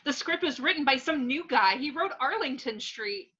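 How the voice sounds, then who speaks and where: Give the part of a theatrical, indignant young actress played by a woman in an amateur screenplay reading. The voice is high and bright, prone to exclamation. The home recording is a little dull and lo-fi. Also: animated, moderate pace. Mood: dismissive. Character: panicky, melodramatic young actress, a bickering drama queen.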